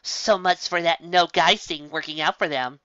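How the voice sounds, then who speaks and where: A scornful female sea creature, put on by a woman reading a story, drawls every line with slow sarcasm. The voice keeps a bright, tense edge. The sound is dry and a little dull. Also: lowish voice, no echo, voice pushed up, natural voice. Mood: sarcastic.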